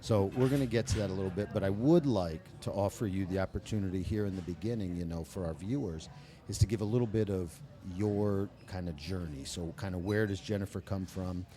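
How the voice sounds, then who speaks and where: A man's voice: low voice, fairly quick. Chatty joking man hosting a podcast. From New York. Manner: chatty, joking, lively interviewer. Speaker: podcast host. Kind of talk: casual interview chat. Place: restaurant.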